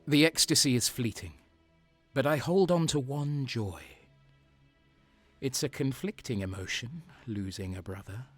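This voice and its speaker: A man playing a bitter, hardened traitor soldier, narrating an audio drama in the first person with a grim, brooding manner. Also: mid-range voice. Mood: intense, conflicted. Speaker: narrator and protagonist.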